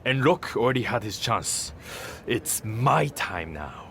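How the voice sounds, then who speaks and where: A man's voice: medium pitch, sing-song, slow pace, gravelly, a bit bright, a little hiss or hum, full character voice. An actor playing a vain, cocky young male wrestler obsessed with social media, smug and dismissive, in a video game cutscene. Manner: smug, dismissive, self-absorbed.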